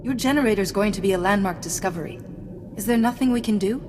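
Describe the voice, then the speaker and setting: An actress playing a composed, dutiful female warrior-sage in a video game, speaking in a measured, direct way. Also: medium pitch, noisy background, close to an everyday voice. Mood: supportive. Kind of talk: video game cutscene acting. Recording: long reverb, music underneath.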